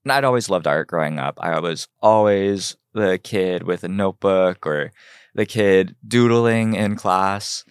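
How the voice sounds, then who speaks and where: A young man from Ohio, a fashion designer telling his story in an earnest, measured way on a podcast. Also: low voice, vocal fry, clear, resonant tone, no room sound, studio quality. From United States (Ohio). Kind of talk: podcast interview.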